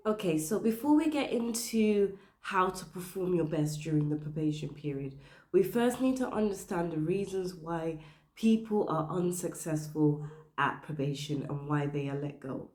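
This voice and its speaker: A woman with a very low voice, made croaky by the flu, gives career advice straight to the camera. She talks earnestly and leans on her points with little checks like "okay?". The room around her adds a noticeable echo. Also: animated, normal speed, darkish tone. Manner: earnest, emphatic, stressing points with "okay?" and "you know". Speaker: career advice channel host. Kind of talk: direct-to-camera advice talk.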